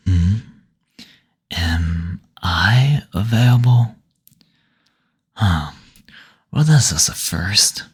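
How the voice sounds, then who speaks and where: Low, breathy, teasing male demon, acted by a man. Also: a bit bright, heavily acted. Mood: coy, soothing, then surprised, intrigued. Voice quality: breathy, husky, near-whispered. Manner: slow, coy teasing with endearments and drawn-out hums. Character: teasing, courtly male demon receptionist, suave and seductive. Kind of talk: intimate scripted audio roleplay.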